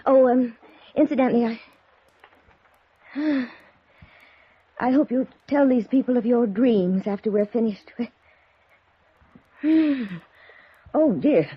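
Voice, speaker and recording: A woman playing a genteel, intense middle-aged spirit medium in a 1950s radio drama, gracious, breathy and theatrical. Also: on the high side, slow pace, long pauses. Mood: uneasy. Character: genteel, intense female spirit medium, about forty-five. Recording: dull old radio recording.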